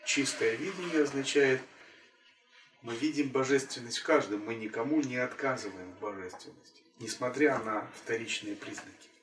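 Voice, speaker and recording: A man speaking as a spiritual teacher in a calm, measured way, returning again and again to the same phrases. His voice sits at an ordinary pitch. The recording is roomy and a little distant from the mic. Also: soft background music. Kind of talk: spiritual talk.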